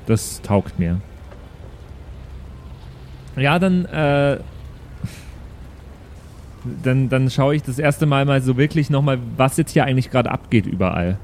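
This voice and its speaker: A medium-pitched man plays a tabletop role-playing game. His talk is casual and improvised, with faint noise in the recording. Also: animated, a little slow, pauses often, darkish tone.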